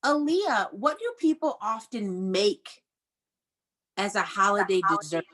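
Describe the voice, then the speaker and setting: A woman's voice: mid-range voice, big pitch swings, measured pace, a bit bright. An American woman teaching young children in an interactive read-aloud over a video call, praising and prompting them with questions. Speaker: elementary school teacher.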